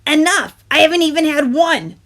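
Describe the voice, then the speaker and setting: A storybook sweet tooth with a demanding, brash streak, acted out by a woman. It speaks loudly in a raised, bouncing pitch. It wheedles and wisecracks like a pushy little pest. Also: expressive, normal speed. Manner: loud, pleading, brash wisecracking. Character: bossy, wheedling talking sweet tooth craving candy. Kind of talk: animated picture-book character voice.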